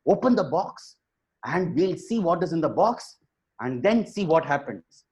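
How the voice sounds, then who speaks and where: A man's voice: on the high side, sing-song, unhurried, darkish tone. A man voicing a wise, helpful owl in an oral children's story, coaxing and admonishing in a frank way. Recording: dull, muffled lo-fi audio.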